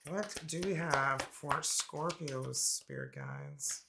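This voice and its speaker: A man doing a casual, chatty tarot reading, laid-back and quick to laugh, his voice a little raspy from a cold. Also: a little high, wildly swooping pitch, heavy background noise. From Canada. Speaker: tarot reader.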